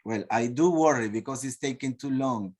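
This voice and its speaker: A man's voice: mid-range voice, moderate pace, darkish tone, breathy edge. A man with a Peruvian accent hosting an online meeting over a video call, chatty and filling time while his screen loads. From Peru. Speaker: host of online teachers' meeting.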